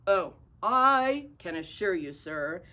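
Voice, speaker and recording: A woman's voice: on the low side, sing-song, slow pace, sharp tone, exaggerated voice. A woman voicing a polite, well-spoken would-be pirate in a children's storybook, speaking in a prim, overly proper manner. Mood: earnest, pompous. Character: polite, well-spoken male rascals hoping to join the crew. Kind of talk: children's storybook read-aloud.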